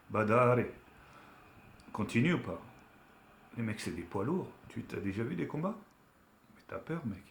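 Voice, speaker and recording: Man, low voice, roomy echo, casual street-style talk, emphatic, admonishing, from Algeria, online commentary. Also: slight background noise. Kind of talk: casual opinion rant to camera.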